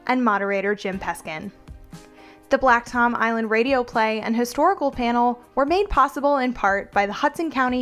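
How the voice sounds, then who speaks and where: A woman's voice: a little high, fairly bright tone. An American woman reading scripted podcast narration as its host. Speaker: producing director, podcast host.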